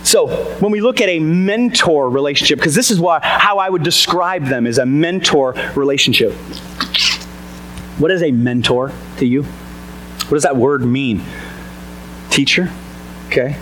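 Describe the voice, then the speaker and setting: A man with a boomy voice giving an energetic, chatty sermon to a church congregation, asking the listeners questions. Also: measured pace, pauses often, slight background noise, low-quality recording. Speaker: pastor. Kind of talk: casual conversational sermon. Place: church service before a congregation. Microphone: amplified mic on a sound system.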